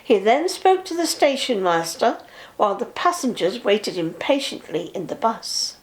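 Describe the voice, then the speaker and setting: High-voiced older woman reading a storybook. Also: sing-song, moderate pace. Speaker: grandmother reading to a child. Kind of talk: expressive children's storybook narration.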